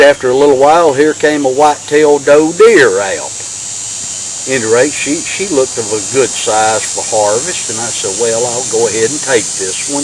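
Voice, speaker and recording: A middle-aged or older man with a Southern US accent, telling a tall tale in a folksy, drawn-out way with homespun sayings. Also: mid-range voice. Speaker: storyteller. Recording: clearly noisy, hissy recording.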